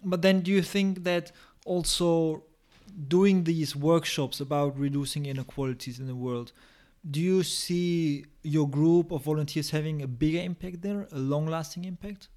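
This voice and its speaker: A man's voice: a little high, normal speed, a little mellow. A man hosting a podcast interview in a casual, conversational style, affirming and encouraging his guest.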